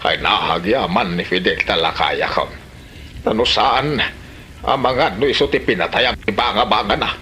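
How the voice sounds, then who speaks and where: A man's voice: lowish voice, moderate pace, pushed and bright, a little hiss or hum. A man playing a gossipy, easily offended old villager in a comedy drama, with an Ilocano Filipino accent and grumbling interjections. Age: older. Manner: exclaiming, grumbling, hesitant interjections.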